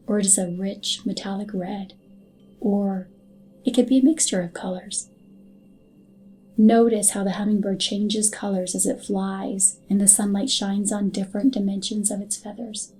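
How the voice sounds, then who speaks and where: A woman narrating a scripted hypnotic guided meditation in a soothing, soft and unhurried manner. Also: medium pitch, breathy edge. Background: background music.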